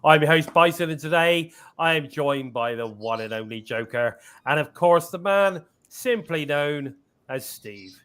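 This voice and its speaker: A man's voice: a little high, lively intonation, fairly bright tone, clear, resonant tone. Upbeat man with a cough. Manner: upbeat, chatty, drawn-out fillers. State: lingering cough. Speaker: podcast host. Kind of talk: casual live-stream chat.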